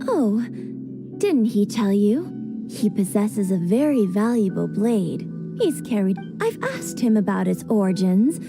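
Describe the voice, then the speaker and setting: An actress playing a polite, composed shopkeeper in a video game, explaining things in a measured, thoughtful way. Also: female voice, mid-range voice, sing-song, creaky. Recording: music playing behind the voice.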